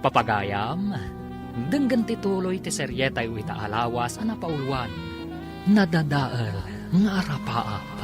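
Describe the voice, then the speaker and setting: A man's voice: mid-range voice, very animated. A man narrating as a radio drama announcer, theatrical, drawing out the titles and names. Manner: theatrical, drawn-out title calls. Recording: music behind the voice.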